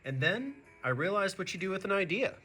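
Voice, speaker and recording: A male teacher reads a storybook for children in a bright, mid-pitched voice. His intonation is very animated and expressive, addressed straight to young listeners. Soft music sits faintly behind him. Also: fairly quick. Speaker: teacher reading a picture book to students. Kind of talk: animated children's read-aloud.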